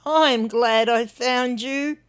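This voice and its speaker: Woman voicing a slow, high-pitched old Australian bilby. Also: fairly even intonation, clear, resonant tone. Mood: fond. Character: gentle old male bilby, devoted and tender.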